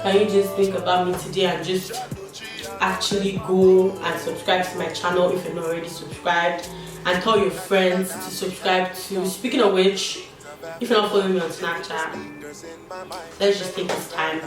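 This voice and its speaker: A woman's voice: lowish voice, level tone, normal speed. Young woman chatting while doing makeup, very roomy echo, a bit off-mic, music playing under her. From Nigeria. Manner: chatty and candid, with slangy asides. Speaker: beauty vlogger. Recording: echoey room, distant mic, background music. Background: background music.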